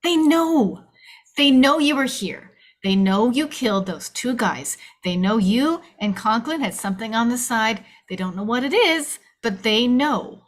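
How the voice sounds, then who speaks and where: A woman's voice: fairly high pitch, lively intonation, a little slow. A woman playing a frightened young agency staffer, pleading and defensive, in a screenplay table read. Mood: urgent, explaining.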